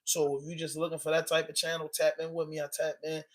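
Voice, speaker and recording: A Baltimore man with a slightly high voice hosts a casual live stream, hyping up his chat. His talk wanders and circles back, full of "you know what I mean," as he asks people where they are from. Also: completely flat delivery, quick pace. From Baltimore, Maryland, US. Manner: loose, rambling, repeats "you know what I mean", urges viewers. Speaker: live-stream vlogger and news reactor. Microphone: camera on a tripod.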